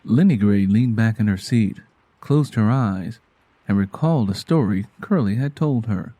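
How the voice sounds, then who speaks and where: A man's voice: fairly low pitch, expressive, normal speed, warm tone, no echo. A man narrating a scripted story expressively, as an audiobook narrator.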